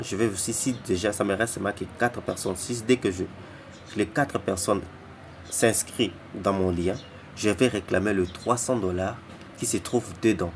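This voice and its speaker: A man giving a casual walkthrough of an app, halting and often repeating words and restarting phrases, who says he is not an English speaker. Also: low voice, creaky, a little hiss or hum. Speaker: app tutorial presenter. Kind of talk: casual screen-recorded walkthrough.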